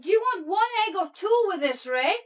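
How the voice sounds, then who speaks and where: A woman's voice: extremely high pitch, sing-song, brisk, fairly bright tone, full character voice. An actress playing a sympathetic policewoman chatting casually with a colleague in a naturalistic radio drama. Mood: casual, concerned. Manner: casual, conversational.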